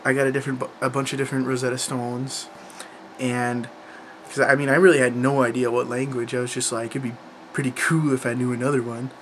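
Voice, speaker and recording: A man's voice: mid-range voice, level tone, fairly quick, fairly bright tone, slight background noise. A young man chatting casually to camera about learning languages, rambling, with lots of fillers and restarts. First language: English.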